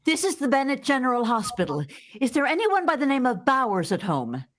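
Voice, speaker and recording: A woman's voice: high voice, big pitch swings. A woman playing a businesslike, matter-of-fact hospital switchboard operator in a vintage-style radio drama. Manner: brisk, official.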